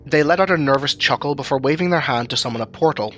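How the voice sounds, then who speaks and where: Male narrator, scripted audio drama storytelling, bright crisp-edged tone, music in background. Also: mid-range voice, fast.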